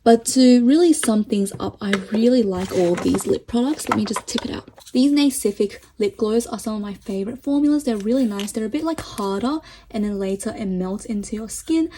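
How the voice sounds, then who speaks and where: A woman vlogger chatting casually through a makeup review, enthusiastic and full of fillers, her voice getting hoarse. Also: fairly high pitch, a little fast, hardly any pauses, noisy background. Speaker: beauty vlogger.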